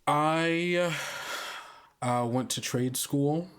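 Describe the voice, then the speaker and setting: A man playing an awkward, down-on-their-luck drifter in improvised tabletop roleplay, speaking in a halting, hesitant way. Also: a little high, fairly bright tone, clear, resonant tone, pitched above the performer's own voice. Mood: confessional, downcast.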